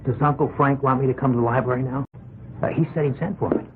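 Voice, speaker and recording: A man's voice: medium pitch, steady tone, fast, close to an everyday voice. Guy playing a jittery guilty young man in an old radio drama, stammery, tinny with a bit of hiss. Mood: meek, hesitant. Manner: hesitant, polite, agitated stammering. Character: meek, nervous, deferential, guilt-ridden young man. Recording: tinny old radio broadcast, faint noise.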